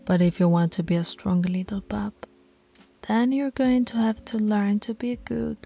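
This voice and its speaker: A woman's voice: mid-range voice, fairly even intonation, a little slow, gravelly, mellow, soft background music. A woman voicing a dominant female werewolf pack alpha in an audio roleplay, teasing and commanding, often dropping to a whisper. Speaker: voice actress.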